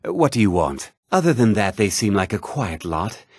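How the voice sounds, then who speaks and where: Casting: condescending fantasy RPG townsman, short-tempered, lively swooping intonation, close dry sound. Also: lowish voice, normal speed, no echo. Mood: dismissive, curt. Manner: condescending, curt, sneering. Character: haughty, irritable townsman of a fantasy realm. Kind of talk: fantasy video game character lines.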